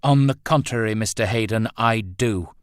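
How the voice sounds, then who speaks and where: Man as a gruff, low-voiced protective uncle. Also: moderate pace, dry recording, clean studio sound, close to an everyday voice. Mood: furious, threatening. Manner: growling, blunt, threatening or gently protective. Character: angry protective uncle, gruff adult man, hot-tempered.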